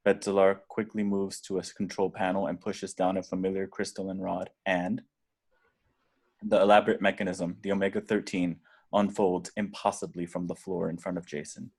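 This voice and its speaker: A man reading screenplay action directions aloud in a deliberate, dramatic way. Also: sits low, little pitch movement, creaky, a little mellow. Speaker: stage directions reader.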